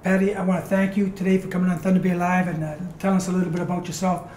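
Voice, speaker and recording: A host interviewing a guest on a community TV show, in a warm, friendly manner. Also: mid-range voice, little pitch movement, racing, slight background noise. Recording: echoey room.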